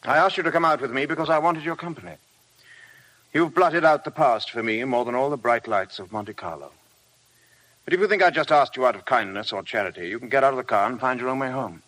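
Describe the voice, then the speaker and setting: An actor playing a moody, commanding upper-class gentleman with an English accent, brusque and self-possessed, in 1950s radio drama. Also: mid-range voice, fast talker, lightly acted, low-quality recording. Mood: brusque, brooding.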